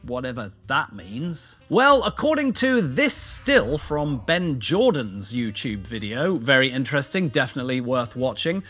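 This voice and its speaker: A man's voice: mid-range voice, sing-song, unhurried, hardly any pauses, a bit bright, faint music behind. A British man narrating a scripted but conversational video essay as its host, with wry, playful, self-deprecating asides. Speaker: YouTube host.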